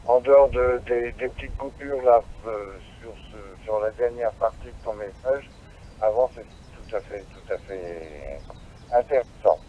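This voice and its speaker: A man's voice: medium pitch, unhurried. A man with a French accent chatting as an amateur operator, his voice coming through a two-way radio. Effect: received over two-way radio. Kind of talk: radio contact chat. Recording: dull radio link, faint noise.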